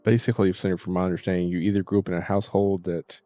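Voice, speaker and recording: A man live streaming, chatting casually in a halting, rambling way that trails off and circles back. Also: deep voice, level tone, warm tone, dry recording. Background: faint music.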